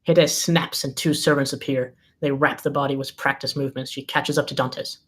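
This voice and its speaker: A man's voice: mid-range voice, little pitch movement, moderate pace. A man reading scripted screenplay directions as the narrator of a table read.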